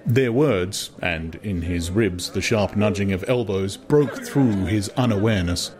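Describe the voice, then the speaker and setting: A man's voice: fairly low pitch, unhurried. A man reading a novel aloud as narrator, in dramatized, scripted literary storytelling. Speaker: audiobook narrator.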